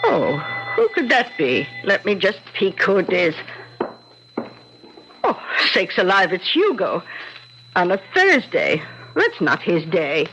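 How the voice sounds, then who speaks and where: An actress playing a doting, genteel elderly aunt in a radio drama, with a cooing, fussy, indulgent manner. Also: female voice, lowish voice, sing-song, slow, long pauses, fairly bright tone, full character voice. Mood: chatty, sweet. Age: older. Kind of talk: old-time radio drama acting. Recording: dull vintage radio recording, faint noise.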